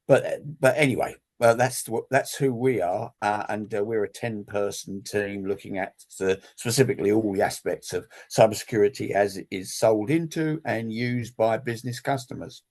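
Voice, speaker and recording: A man speaking as an industry analyst on a webinar panel, rambling and halting with many fillers. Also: on the low side, darkish tone. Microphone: video call.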